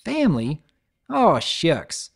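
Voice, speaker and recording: A man's voice: a little high, huge pitch swings, slow, gravelly, voice pushed up, heavily acted. A man voicing an honest, hardworking country pony mare with a Southern drawl and folksy, earnest manner.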